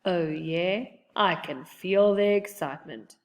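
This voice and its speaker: A woman's voice: on the low side, slow, big-room sound. Lady doing a corny bigfoot dad, hearty n teasing, drawn out words, storybook. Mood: challenging. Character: jovial, encouraging bigfoot dad, hairy and corny.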